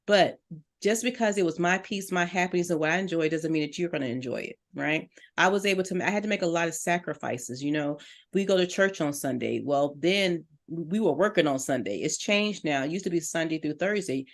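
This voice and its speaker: A woman's voice: sits low, fairly quick. Earnest middle-aged woman coaching listeners. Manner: earnest, direct and encouraging, addresses listeners as "you". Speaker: business coach guest. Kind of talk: motivational talk-show advice.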